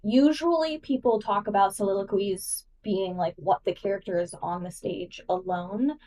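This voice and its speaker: A woman's voice: medium pitch, normal speed, dark tone, distant mic, poor audio. A woman guest co-host chatting enthusiastically on a podcast and explaining things with lots of "like" fillers. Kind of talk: casual podcast chat, explaining.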